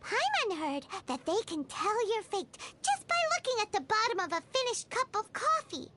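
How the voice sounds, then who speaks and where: A woman voicing a small, childlike flying companion in a video game, squeaky, bubbly and excitable. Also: normal speed, exaggerated voice. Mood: curious, amazed. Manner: bubbly, exclamatory, speaks of herself in third person. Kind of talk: scripted video game dialogue.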